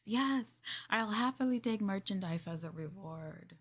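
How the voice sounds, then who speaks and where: A woman livestreamer in casual roleplay chat, playing a polite, chatty maid and often dropping into soft, breathy near-whispers. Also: mid-range voice, expressive, a little slow. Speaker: VTuber livestream host.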